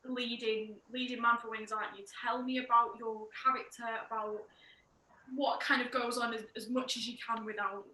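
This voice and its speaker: A woman's voice: a little high, flat delivery, moderate pace, bright, forceful tone. A woman hosting a podcast interview, chatty and enthusiastic, saying "like" often. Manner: chatty, enthusiastic, heavy use of "like". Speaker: podcast host. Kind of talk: casual interview chat. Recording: echoey room with faint background noise.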